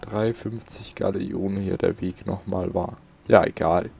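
A man comments casually on his game, muttering and grumbling to himself. His voice is low with a lot of fry, and game sound is clearly heard behind him. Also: steady tone, a little slow, dark tone, slight background noise. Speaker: let's player.

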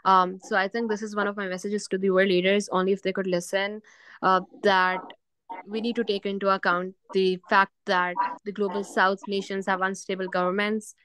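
A young woman panelist on a video call, giving measured advice. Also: mid-range voice, flat delivery, fairly bright tone, clean tone, dry recording, low-quality recording. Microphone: video call laptop mic.

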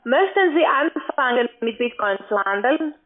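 High-voiced insistent woman on phone line. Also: lively intonation, moderate pace. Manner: formal, evasive, insistent. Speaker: call center agent. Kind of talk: phone sales call. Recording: tinny band-limited phone line.

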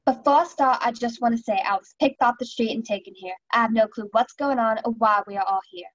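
A woman's voice: on the high side, fairly quick, muffled recording, natural voice. A young woman playing a nervous, evasive suspect in an amateur radio play. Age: young adult.

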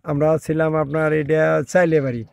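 An older man from Bangladesh, a buffalo herder answering questions outdoors in a halting, repetitive way. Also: a little high, flat delivery, vocal fry, darkish tone. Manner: halting, repeats words. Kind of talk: casual interview answers. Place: outdoors in a rural field.

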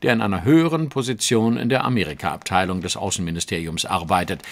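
A man's voice: sits low, quick pace. An actor playing a brilliant, self-assured male detective in a German audio drama, lecturing precisely and emphatically. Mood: grave, deductive.

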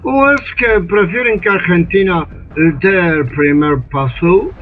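A man playing a scheming British ambassador. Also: high voice. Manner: slow, insinuating, persuasive. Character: scheming male British ambassador, smooth and manipulative. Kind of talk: amateur radio drama acting over video call. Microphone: computer webcam mic on a video call. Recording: tinny phone-like line with faint music.

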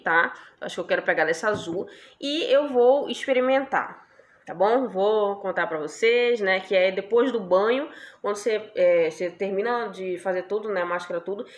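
A young woman chatting casually about products she bought, asking her viewers for agreement every few lines. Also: mid-range voice. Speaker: beauty vlogger. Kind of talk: casual product haul chat.

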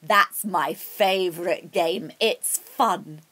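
A woman storyteller voicing a wicked little old witch who demands things in a loud, grasping, gloating way. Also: lowish voice, slow pace, breathy edge, heavily acted.

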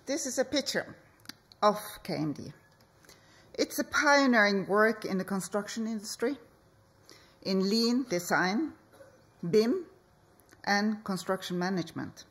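A woman architect, giving a conference presentation from a stage podium in slow, measured phrases with deliberate pauses. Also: medium pitch, animated, fairly bright tone. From Norway. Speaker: architect and project leader.

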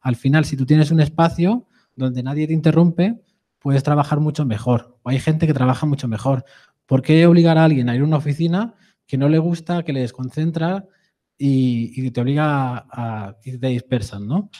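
A man's voice: fairly high pitch, moderate pace, warm tone. A man in his thirties from Madrid with a Madrid Spanish accent, giving a conversational live presentation to an audience and often tagging his sentences with a questioning "no". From Madrid, Spain. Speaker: conference speaker.

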